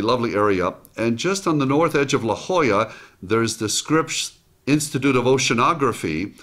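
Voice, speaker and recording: An older man from Israel, hosting a motivational talk straight to camera in a small studio and telling stories with lively acted-out quotes. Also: medium pitch. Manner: lively storytelling, acts out quoted lines. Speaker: host and motivational speaker. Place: small home studio set, indoors.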